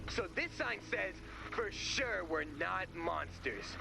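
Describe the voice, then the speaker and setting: A man's voice: high-pitched, expressive, takes it slowly, gravelly, noisy background, heavily acted. A man playing an eager, naive, goofy sidekick in a video game, earnest and guileless. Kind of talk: animated video game voice acting.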